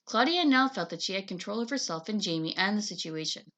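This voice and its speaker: A woman teacher reading a chapter book aloud to children in an expressive, dramatizing manner. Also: medium pitch, a little fast. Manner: animated, dramatizes dialogue.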